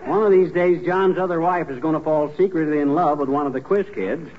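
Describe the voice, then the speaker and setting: An actor playing a cocky, bumbling middle-aged husband with a folksy, blustering, slangy delivery in 1940s radio comedy. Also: male voice, on the high side, a little fast, close to an everyday voice. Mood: boastful. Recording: noisy, dull 1940s radio recording.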